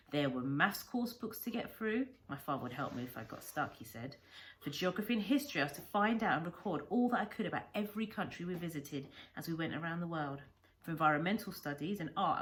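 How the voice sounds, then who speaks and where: Low-voiced woman reading a story expressively. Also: a bit bright, rough recording. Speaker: schoolteacher reading aloud.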